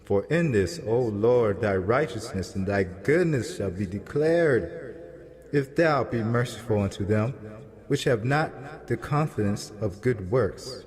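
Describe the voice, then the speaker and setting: Man acting a slow-spoken, humble pleading prophet. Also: lowish voice, animated, a little mellow. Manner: measured, earnest, reverent. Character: humble male prophet pleading with God for mercy. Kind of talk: dramatized scripture reading in archaic English. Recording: long reverb over backing music. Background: music.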